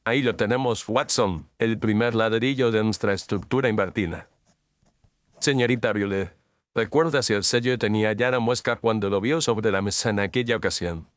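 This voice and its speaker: A Victorian detective, played by a man in an audio mystery. The voice is on the low side, recorded very dry. He is composed and incisive, setting things out in formal, deliberate speech. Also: no echo, natural voice. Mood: probing, assured. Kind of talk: Spanish audio drama mystery acting.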